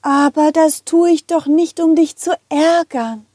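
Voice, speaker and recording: Loving, motherly centipede lady for a puppet play a woman acts it. Tender, patient, unhurried, high. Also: dark tone, no echo, clean studio sound. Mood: patient, explaining. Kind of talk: children's puppet audio play.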